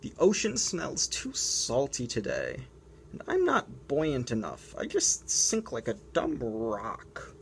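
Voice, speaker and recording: A woman's voice: extremely low pitch, wildly swooping pitch, takes it slowly, creaky, slight background noise, heavily acted. A woman voicing a grumpy, whiny young penguin in a picture book read-aloud. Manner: complaining, whiny.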